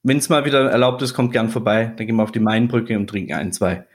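A man from Swabia, a podcast guest, talking in a relaxed, joking way and explaining his work fluently. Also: fairly low pitch, quick pace. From Swabia, Germany. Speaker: podcast guest, regional business manager. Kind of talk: casual podcast interview.

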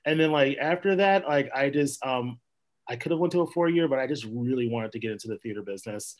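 A man's voice: mid-range voice, lively intonation, fast. Chatty young man with a mid-range voice. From Minnesota, US. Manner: rambling, with many fillers and laughs. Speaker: podcast guest, actor and playwright. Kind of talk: casual interview chat.

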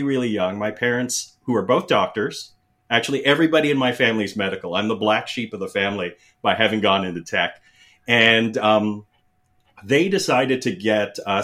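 A man's voice: on the low side, moderate pace, fairly bright tone. A middle-aged man from the Philippines, a guest telling stories in a casual interview, rambling with many fillers and restarts.

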